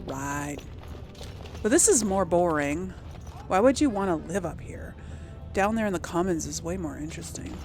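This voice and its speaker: A woman's voice: fairly low pitch, animated, moderate pace, pauses often, a little mellow, soft background music. A woman hosting a casual video game let's play, rambling and musing to herself as she goes. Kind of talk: casual game commentary, thinking aloud. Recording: faint background noise.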